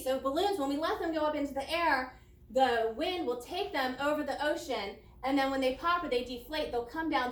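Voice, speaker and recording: A woman teacher reading a children's non-fiction book aloud, explaining it expressively in simple words for young listeners. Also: high voice. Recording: roomy echo, distant microphone.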